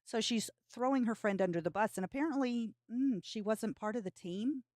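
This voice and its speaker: A woman's voice: on the high side, moderate pace, a little mellow, no room sound, studio quality. A woman hosting a live stream, giving casual commentary on clips.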